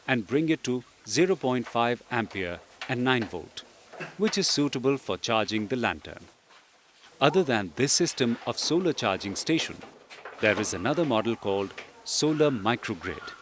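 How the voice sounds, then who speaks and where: A man reading scripted instructional narration in a measured, explanatory way. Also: medium pitch, sing-song, fairly bright tone, a little hiss or hum. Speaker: training film narrator. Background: background music.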